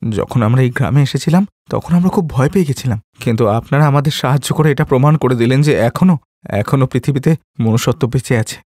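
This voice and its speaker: A man's voice: medium pitch, fairly quick, darkish tone, no room sound, studio quality, lightly acted. A man voicing a humble, caring and distressed poor father in a cartoon moral story. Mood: grateful. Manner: plaintive, earnest, repeats phrases.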